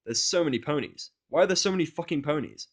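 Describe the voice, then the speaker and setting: A man's voice: on the high side, animated, fast talker, breathy edge. A man narrating a scripted first-person fan fiction story in a casual, grumbling, sardonic way.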